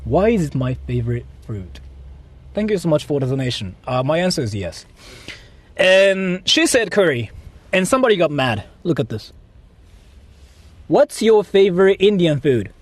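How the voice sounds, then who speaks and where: Animated higher-pitched man, casual livestreamer. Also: moderate pace, long pauses, dry recording. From Japan. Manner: rambling, repetitive, profane. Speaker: livestream host. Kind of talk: casual livestream chat with viewers.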